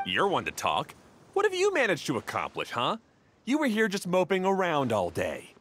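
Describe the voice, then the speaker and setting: A man's voice: high-pitched, huge pitch swings, moderate pace, a bit bright, full character voice. An actor playing an irritable, sarcastic young man quarrelling with a co-worker in a video game. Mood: sardonic. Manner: gruff, exasperated, sarcastic.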